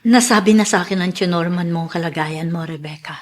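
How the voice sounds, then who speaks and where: A woman's voice: lowish voice, brisk, a little mellow, close to an everyday voice. A woman playing a worried mother in a radio drama, speaking in an anxious, hesitant manner. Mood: hurt, pitying. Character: Mariana, worried mother fighting for her child. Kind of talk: Filipino radio drama acting.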